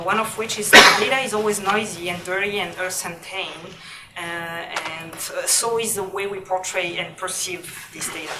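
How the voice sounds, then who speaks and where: A woman giving an academic conference talk with a somewhat hesitant, halting delivery. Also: medium pitch, background noise. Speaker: academic presenter. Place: lecture room. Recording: echoey room, distant mic, music.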